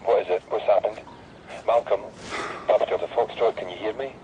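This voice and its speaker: Heavy noise and a narrow radio filter cover this policeman. His voice is low and clipped, in the style of radio crime drama. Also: a little fast. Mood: alarmed. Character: police colleague answering over two-way radio. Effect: two-way radio filter. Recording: noisy, band-limited radio link, clicks.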